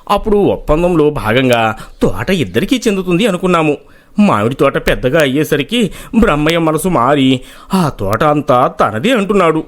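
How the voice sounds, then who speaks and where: A man playing an earnest village farmer in a moral story. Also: on the high side. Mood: complaining, appealing. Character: wronged, earnest village farmer pleading his case. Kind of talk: acted moral-story dialogue.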